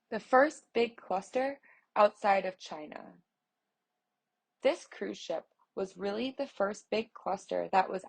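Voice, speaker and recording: A woman voicing a news feature aloud, reading in a steady, measured way with the odd small restart. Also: medium pitch, gravelly. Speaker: voice interpreter reading an article.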